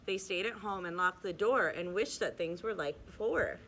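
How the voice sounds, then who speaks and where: A young woman reading a rhyming picture book aloud at a steady, rhythmic pace, now and then stumbling and restarting a word. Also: lowish voice, normal speed, a little hiss or hum, rough recording. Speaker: reader.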